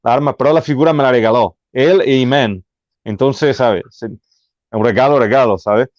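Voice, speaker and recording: A man's voice: mid-range voice, no echo. A man from Italy with an Italian accent, speaking Spanish as a guest and often stopping to search for words. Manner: halting, searching for words, restarts. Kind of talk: casual interview chat. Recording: dull, muffled audio.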